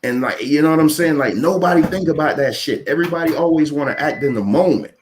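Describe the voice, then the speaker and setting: A man's voice: on the high side, fast, noisy background. A man in his thirties giving blunt opinions in a casual panel chat, often repeating words as he starts a point. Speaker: podcast panelist.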